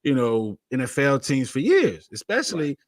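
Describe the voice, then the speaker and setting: Guy cohosting sports chat, rambles, pitch jumps around a lot. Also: huge pitch swings, moderate pace. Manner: rambling, lots of "you know" fillers. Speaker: co-host.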